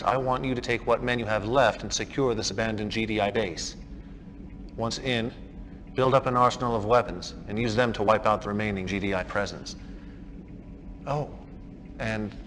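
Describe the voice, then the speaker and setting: An actor playing a commanding, self-assured cult leader in a video game cutscene, speaking in a measured, imperious, knowing way. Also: male voice, fairly low pitch, fairly bright tone, natural voice.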